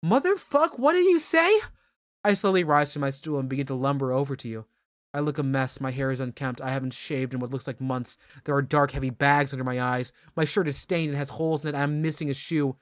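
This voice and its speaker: A man's voice: mid-range voice, steady tone, quick pace, clear, resonant tone, no room sound, close to an everyday voice. A man voicing a drunk, menacing brawler at a bar, brooding and ominous, in a mock-dramatic comedic reading. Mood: threatening. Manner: slurred, brooding, ominous storytelling in first person.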